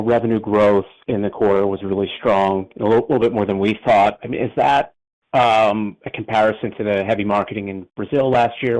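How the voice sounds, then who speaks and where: A man's voice: lowish voice, a little mellow, rough recording. A man asking questions as a financial analyst on an earnings call, casual and a little tentative.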